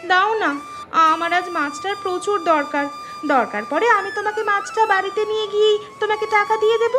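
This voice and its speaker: A woman's voice: extremely high pitch, steady tone, brisk. A woman voicing a young village daughter in a cartoon fairy tale, earnest and pleading. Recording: music under the voice.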